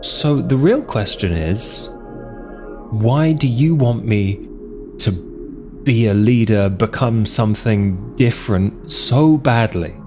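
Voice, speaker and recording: A man playing a weary, defiant vampire wanderer in an improvised tabletop roleplay, blunt and exasperated, with halting delivery. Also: mid-range voice, over music.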